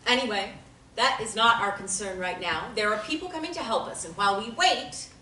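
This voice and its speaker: A bright, forceful actress's voice as a protective female therapist in a stage drama reading. She is calm and firm, choosing formal, measured words. The sound is roomy and distant from the mic. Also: medium pitch, a little hiss or hum. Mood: firm, reassuring. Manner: formal, measured wording with few contractions. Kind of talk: dramatic table reading of a play.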